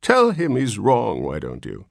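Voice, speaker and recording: A man's voice: mid-range voice, wildly swooping pitch, measured pace, gravelly, no echo, clean studio sound, pitched above the performer's own voice, full character voice. A man voicing a querulous ancient old man who has just been born, with a cracked, whining voice. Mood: querulous whine.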